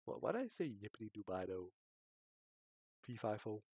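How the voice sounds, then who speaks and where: A man's voice: on the low side, sing-song, moderate pace, a little mellow, husky, no echo, clean studio sound. A man livestreaming a visual novel, talking casually in hesitant, rambling asides that often drop into a mumble. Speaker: streamer. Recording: music behind the voice. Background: game music.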